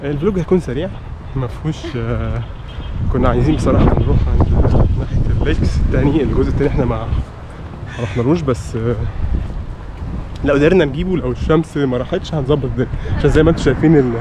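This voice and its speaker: A man with a Cairo accent talks very quickly, in the casual manner of someone showing viewers around on foot. His pitch sits in the middle for a man, and he drops English words into his Arabic. It is recorded outdoors with clear street and vehicle noise. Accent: Egyptian Arabic, Cairo. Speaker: vlog host giving a walking tour. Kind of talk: casual unscripted tour commentary. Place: outdoors walking along residential villa streets.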